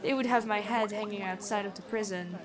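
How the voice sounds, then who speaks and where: A woman playing a stern but kind, noble-born prison guard in a fantasy ASMR roleplay, talking in a measured, conversational way. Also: mid-range voice, fairly even intonation, crowd noise, lightly acted. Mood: confiding.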